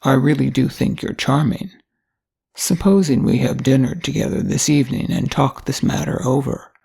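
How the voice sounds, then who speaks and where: A woman voicing a courteous, wealthy gentleman suitor in a story, polite and formal, with a husky voice. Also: extremely low pitch, normal speed, heavily acted. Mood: courteous, flattering.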